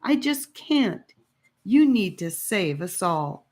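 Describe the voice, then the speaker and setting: A woman's voice: mid-range voice, sing-song, slow, darkish tone, voice pushed up. A woman voicing an anxious young girl adventurer in an audiobook, pleading and emotional. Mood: urgent, insistent.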